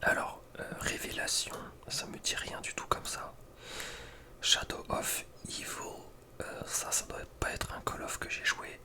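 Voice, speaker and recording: A man speaking in a breathy whisper, giving informal, slangy ASMR commentary as a gaming video creator. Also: unhurried, pushed and bright. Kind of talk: whispered ASMR casual commentary ranking video game maps.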